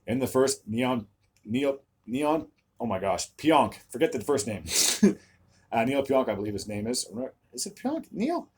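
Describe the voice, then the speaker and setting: Man recapping hockey, slightly raspy voice. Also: medium pitch, very animated, unhurried, darkish tone. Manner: stumbles over player names, frequent "uh" fillers. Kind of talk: solo sports recap video.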